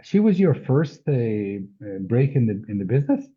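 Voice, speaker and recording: Man host, tinny phone-like sound, mid-pitched, hesitant questions with fillers. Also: very animated, moderate pace, slightly rough. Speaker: interview host. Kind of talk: interview questions.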